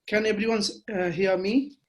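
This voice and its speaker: A man from Bangladesh, a Bengali speaker, giving a measured webinar lecture over a video call with frequent fillers. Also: high-pitched, fairly even intonation. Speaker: guest lecturer.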